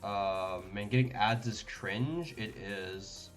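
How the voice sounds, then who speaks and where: A man's voice: fairly low pitch, slow pace, soft background music. A young man from Denmark streaming a card game, thinking aloud in casual commentary with lots of fillers and restarts.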